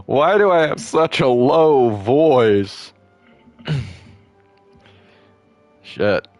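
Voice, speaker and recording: A young man streaming a game, talking casually in a low voice with vocal fry, laid-back and mumbling with swearing. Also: sing-song, very slow, long pauses, darkish tone, soft background music. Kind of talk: casual gaming stream chat.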